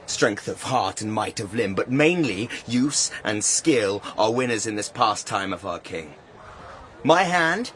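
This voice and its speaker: A man's voice: mid-range voice, a bit bright, a little hiss or hum. A man voicing a proud, blunt, scornful knight in archaic, declamatory verse storytelling.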